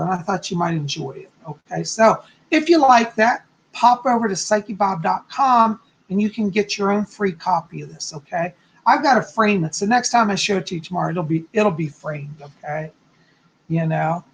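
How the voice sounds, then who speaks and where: A man with a hoarse, slightly raspy voice, chatting casually from home as a psychic hosting his live stream and greeting viewers effusively. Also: sits high, fairly bright tone, rough recording. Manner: chatty, effusive, frequent "okay?" and "you know".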